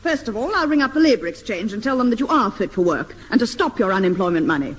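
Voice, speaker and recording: Brisk, bossy sitcom companion. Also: female voice, fairly high pitch, sing-song, big-room sound. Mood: firm, threatening. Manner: brisk, firm. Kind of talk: 1950s British radio sitcom acting. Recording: old radio recording, faint noise.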